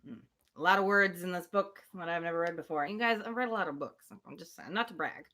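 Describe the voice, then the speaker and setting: A woman's voice: lowish voice, fast talker, bright, forceful tone. A woman reading a classic novel aloud on a livestream, slipping into chatty asides to her listeners.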